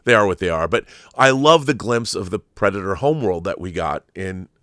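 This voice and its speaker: Man, middle-aged, lower-pitched, dry sound, opinionated conversational host, emphatic, digressive, unscripted film commentary. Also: animated, fairly quick, fairly bright tone, dry recording.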